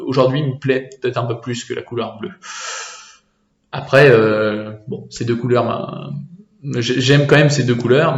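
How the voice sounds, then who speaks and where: A young French man from Normandy, answering interview questions casually with many hesitant pauses. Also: medium pitch, echoey room, highs cut off. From France, Normandy.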